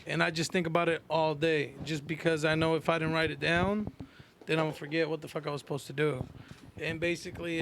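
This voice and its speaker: A man's voice: a little high, fairly bright tone, slight background noise. A man in a slangy, casual podcast chat, blunt and sweary, repeating phrases to make his point. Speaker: podcast co-host.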